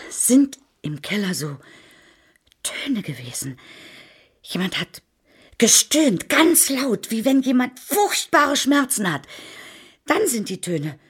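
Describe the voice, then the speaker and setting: A spiteful older gossip in a radio drama, with a husky, fairly high voice that swings widely in pitch. She accuses insistently and haltingly, with great drama. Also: fairly bright tone, full character voice. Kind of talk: German radio drama acting.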